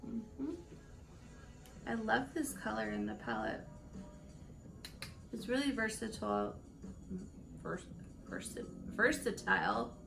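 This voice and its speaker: A woman in her late twenties with a raspy voice, chatting casually through a makeup tutorial and rambling between stories with laughs. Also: a little high, huge pitch swings, slow pace, long pauses, over music, rough recording. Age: late 20s. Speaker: beauty vlogger.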